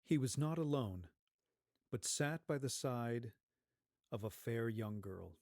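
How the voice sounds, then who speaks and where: A man narrating a story in a measured, expressive, theatrical scripted style. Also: mid-range voice, no echo, clean studio sound.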